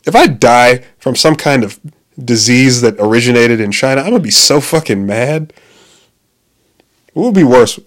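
A man's voice: mid-range voice, expressive, normal speed. A man in his late twenties talking in a casual, rambling monologue, swearing often, restarting his sentences and laughing at himself. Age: 20s. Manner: profane, self-interrupting, laughs often.

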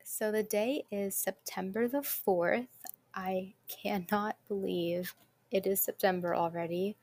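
A young woman college student hosting her own podcast, talking in a chatty, upbeat way with quick asides and a naturally quiet voice. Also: mid-range voice, fairly even intonation. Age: young adult. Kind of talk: casual solo podcast chat.

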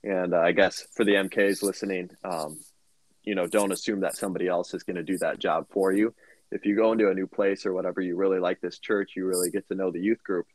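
A man's voice: low voice, flat delivery, quick pace, no room sound, low-quality recording. A young man co-hosting a casual podcast, giving earnest advice with many ums and you-knows. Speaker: podcast co-host.

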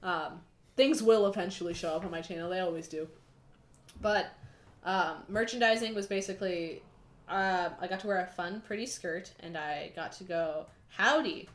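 A woman's voice: fairly low pitch, moderate pace, echoey room. A young woman in her twenties, chatting casually and self-mockingly as a podcast co-host, with many fillers. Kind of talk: casual chat.

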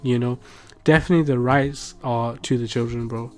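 Young British male co-host, casual and slangy, emphatic delivery, medium pitch, soft music underneath. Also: steady tone, normal speed. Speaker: podcast co-host. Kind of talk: casual podcast chat.

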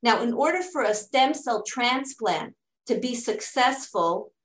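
A woman's voice: a little high, animated, measured pace. Earnest middle-aged woman in an echoey room. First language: English. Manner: earnest, explains step by step with restarts. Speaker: charity fundraising director. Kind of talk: interview answers, explanatory. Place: Israel.

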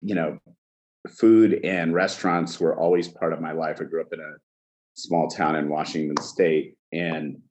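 A man from Egypt, a chef, telling his backstory in a casual interview, halting with many fillers. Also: extremely low pitch, fairly even intonation, darkish tone. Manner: halting, many fillers like "you know", laughs often. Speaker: chef, guest.

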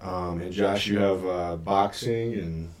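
A man hosting a podcast, talking in a relaxed, slangy way and affirming his guests often. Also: low voice, takes it slowly, distant mic. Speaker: podcast host. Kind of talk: casual interview. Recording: echoey room, voice a bit off-mic.

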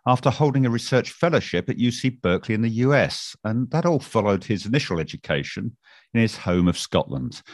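A man hosting a podcast, measured, with fillers and repeated words. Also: fairly low pitch, no room sound, studio quality. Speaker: podcast host. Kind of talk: podcast interview and commentary.

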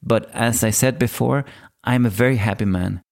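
A man in his forties with a Danish accent, hosting his own podcast and telling his life story in a calm, measured monologue. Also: medium pitch, creaky. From Denmark. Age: 40s. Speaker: podcast host. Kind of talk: scripted solo podcast monologue.

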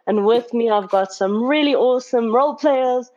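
A woman's voice: on the high side, moderate pace. Upbeat woman hosting a panel, lo-fi. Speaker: panel host. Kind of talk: hosting a live panel discussion.

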